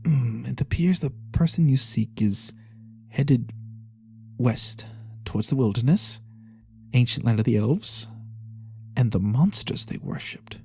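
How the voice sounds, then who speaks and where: A performer voicing a mysterious, courteous fantasy lorekeeper in a soft-spoken ASMR roleplay, breathy and theatrical with knowing pauses. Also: mid-range voice, expressive. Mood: ominous, reluctant. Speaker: storyteller character.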